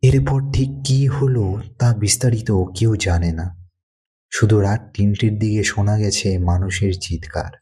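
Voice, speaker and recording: A man narrating a dramatic thriller story in measured, suspenseful phrases. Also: lowish voice, warm tone, breathy edge.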